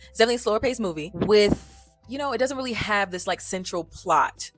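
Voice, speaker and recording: A chatty woman talks straight to camera in a casual vlog, mixing slang and playful asides. Her voice sits in a middle pitch and swings between loud, animated bursts and soft throwaway remarks. Faint music plays underneath. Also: quick pace, rough recording. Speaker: vlogger reviewing films for language learners.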